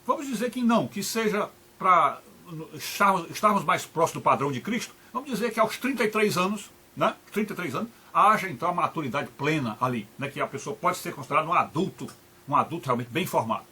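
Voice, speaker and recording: An older man with a Brazilian Portuguese accent gives an unscripted video lecture, halting and repeating words and often adding tag questions. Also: fairly high pitch, lively intonation, pushed and bright, breathy edge.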